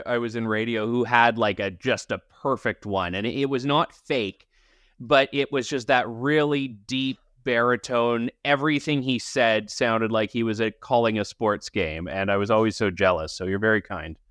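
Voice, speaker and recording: A man who hosts a talk radio show, joining a casual podcast chat, speaking in an articulate, wry and measured way. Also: medium pitch, fairly bright tone, clear, resonant tone, dry recording, clean studio sound. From Canada.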